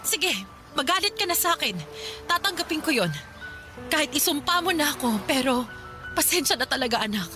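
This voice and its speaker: A middle-aged Filipino mother in a radio drama, resigned yet unbending. Her voice is fairly high, with prominent music behind it. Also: expressive, a little fast, pauses often. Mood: resigned, firm. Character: weary, unyielding mother who left her family. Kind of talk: Tagalog radio drama acting.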